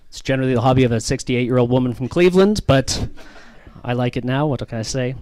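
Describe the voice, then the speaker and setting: A man's voice: mid-range voice, steady tone, fast talker, a little mellow, no echo. A man with a Canadian accent doing self-deprecating, ironic stand-up comedy and bantering with the audience.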